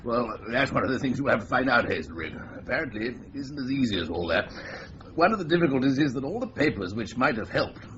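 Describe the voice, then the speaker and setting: An actor playing a senior police officer briefing an inspector, in a clipped, brisk radio drama manner. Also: male voice, medium pitch, very animated, noisy background, close to an everyday voice. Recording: dull lo-fi radio recording.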